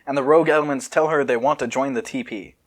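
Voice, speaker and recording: A man's voice: mid-range voice, steady tone, quick pace. A man reading a fan fiction outline aloud as a podcast host, breaking off often to comment and joke.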